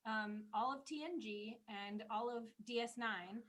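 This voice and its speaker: High-voiced woman chatting casually. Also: unhurried. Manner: animated, laughs easily. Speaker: podcast co-host. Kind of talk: casual chat, personal reminiscing.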